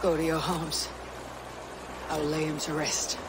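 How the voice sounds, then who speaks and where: An actor playing a resolute, solemn Viking clan leader in a video game, grave and emphatic. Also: male voice, a little high, little pitch movement, a little slow, very noisy, lightly acted. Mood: sombre. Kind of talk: dramatic video game cutscene acting.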